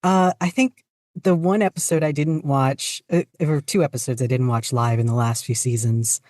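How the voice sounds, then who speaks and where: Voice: mid-range voice, steady tone, moderate pace, a little mellow, no echo, clean studio sound. A podcast guest in their twenties chatting casually and joking dryly in an interview. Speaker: podcast guest, fan fiction writer and podfic reader.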